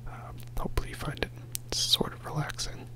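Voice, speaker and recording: A man whispering a soft, rambling ASMR commentary over gameplay as host of his channel, joking about himself with quiet laughs. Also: normal speed, low-quality recording. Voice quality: whispering throughout.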